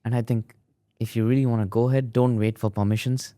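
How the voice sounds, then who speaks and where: A man's voice: medium pitch, little pitch movement, fast talker, warm tone, no echo, clean studio sound. A man hosting an interview podcast, asking questions and adding short, agreeable affirmations. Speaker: podcast host.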